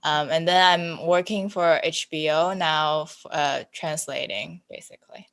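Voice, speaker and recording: A young woman in her twenties with a notably low voice talks as a podcast guest. She is chatty and informal, with a self-deprecating manner. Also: steady tone, a little slow, a bit bright. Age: 20s. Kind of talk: casual chat.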